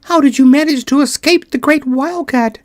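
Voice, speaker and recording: A flock of tiny field mice cry out in a shrill, very high voice. The voice leaps about and stays close and dry. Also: male voice, normal speed, no room sound. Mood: anxious. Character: chorus of small excited field mice.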